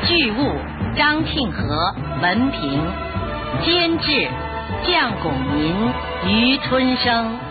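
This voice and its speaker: A woman announcer reading a radio drama's title and credits in a formal, scripted style. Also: on the high side. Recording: dull, lo-fi recording. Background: music.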